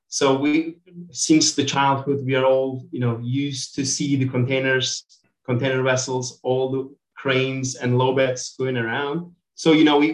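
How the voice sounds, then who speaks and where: Echoey Turkish-accented man being interviewed. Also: medium pitch. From Turkey. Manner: informal, peppered with "you know". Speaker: interview guest, company director. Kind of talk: conversational interview answers.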